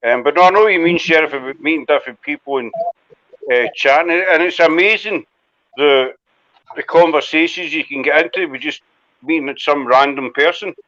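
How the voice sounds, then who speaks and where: A man's voice: on the high side, a little fast, fairly bright tone. Chatty Scottish man, dull lo-fi sound. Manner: chatty, rambling, self-deprecating. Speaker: host. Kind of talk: casual live chat. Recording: dull lo-fi audio.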